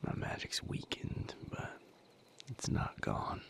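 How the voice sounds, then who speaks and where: A man playing a tender, teasing, battle-worn magical boyfriend in an intimate romantic audio roleplay, soft-spoken and breathy with a gravelly edge. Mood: wry, weary. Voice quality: gravelly, breathy, half-whispered. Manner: unhurried, soft-spoken, intimate murmuring to a partner.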